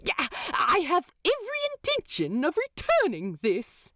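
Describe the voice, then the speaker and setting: Woman voicing a high, flustered, stammering male angel. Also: huge pitch swings, unhurried, a bit bright, no room sound, studio quality, exaggerated voice. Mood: huffy, indignant. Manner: stammering, huffy. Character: flustered, prim, plump male angel, easily offended.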